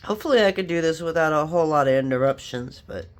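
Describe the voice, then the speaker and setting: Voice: medium pitch, animated, fast talker. A sniffly craft vlogger, chatting casually and rambling through asides while working on a project. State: sniffly, stuffed up from allergies or a cold.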